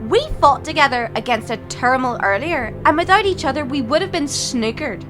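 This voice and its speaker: A woman's voice: high-pitched, animated, a little fast, music in the background. A young woman playing a feisty, sceptical student in an amateur audio drama, with a stubborn, sarcastic manner. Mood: defiant. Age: young adult.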